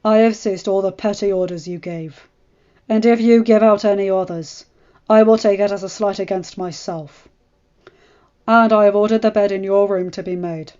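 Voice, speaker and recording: A woman voicing a stern, furious middle-aged lord who speaks in cold, clipped lines and rises to shouts, in a dull lo-fi recording with faint music. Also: mid-range voice, steady tone, moderate pace, natural voice.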